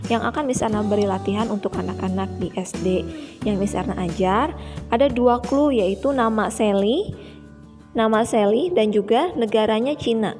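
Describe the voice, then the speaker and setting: A young woman teaches English to primary school children in a video lesson, saying each example sentence slowly and repeating it. Her voice sits at a middle pitch. Music plays clearly behind her the whole time. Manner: slow, careful repetition of example sentences. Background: background music.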